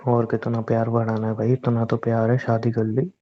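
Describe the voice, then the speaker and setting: A man's voice: medium pitch, monotone, brisk, lightly acted. A young man playing a teasing, boastful newlywed husband in casual, bantering audio-drama dialogue. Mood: mock exasperated. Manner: informal, bantering. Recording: dull lo-fi phone-call style audio.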